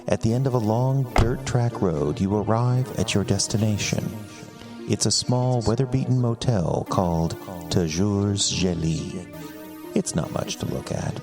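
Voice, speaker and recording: An American man playing a pompous, wry British gentleman host, narrating theatrically in a put-on British accent for a fantasy podcast. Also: on the low side, gravelly. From United States. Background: music.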